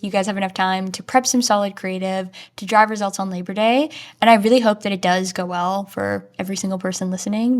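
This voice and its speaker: A woman hosting a solo marketing podcast, talking in an upbeat, chatty way with frequent casual fillers. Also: medium pitch. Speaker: podcast host.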